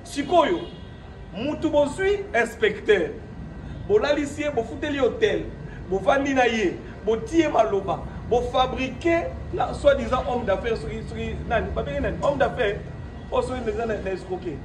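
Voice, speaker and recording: A man's voice: extremely high pitch, animated, normal speed. A man with a Congolese accent giving an improvised press statement in a defiant, emphatic manner, repeating himself and asking rhetorical questions. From Democratic Republic of the Congo. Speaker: speaker at his own press conference. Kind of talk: press statement mixing French and Lingala, partly improvised. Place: echoey room at his own premises. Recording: roomy, distant microphone, noisy background.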